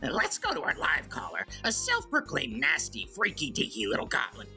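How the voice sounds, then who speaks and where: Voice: medium pitch, huge pitch swings, brisk, creaky, sharp tone, faint music behind, full character voice. An actor voicing a sly, crude male goblin who hosts a comedic radio call-in show, smirking and rambling. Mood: smug, teasing. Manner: smirking, self-justifying, rambling with drawn hesitations. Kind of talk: comedic in-character monologue and banter.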